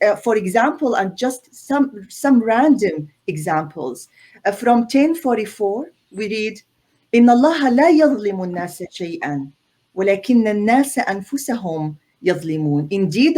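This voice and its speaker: A woman's voice: mid-range voice, darkish tone. A middle-aged woman from Syria, explaining her reasoning as a guest on a video call, earnest and emphatic, often repeating words as she builds a point. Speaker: guest scholar. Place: indoor room on a video call. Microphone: laptop or webcam mic on a video call.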